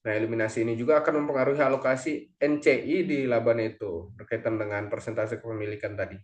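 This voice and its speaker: A man presents a lesson in a mid-pitched voice. He explains things step by step, now and then halting and correcting himself. The recording is echoey, with clear room reverberation. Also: steady tone. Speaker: accounting lecturer or presenter.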